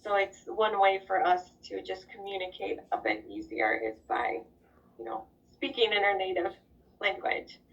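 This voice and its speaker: A woman's voice: mid-range voice, moderate pace, gravelly. A woman from the United States with a slight Spanish accent on some words, chatting through interview answers with lots of fillers, on a video call. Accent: slight Spanish accent on certain words. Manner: relaxed, chatty, many fillers. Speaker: interviewee, employee of a global company. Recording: distant, dull lo-fi sound.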